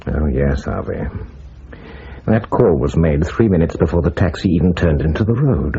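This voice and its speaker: A stern British intelligence chief with a very deep, dark voice. It is heavily husky and cuts with angry authority. The radio drama sound is a little muffled. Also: male voice, lively intonation, moderate pace, full character voice. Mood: cold, scathing. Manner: cutting, authoritative.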